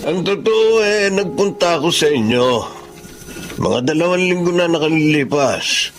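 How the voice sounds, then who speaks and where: A man's voice: on the high side, expressive, unhurried. A man playing an older, frank but good-natured jeep owner in a Filipino radio drama, with a genial manner. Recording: music under the voice.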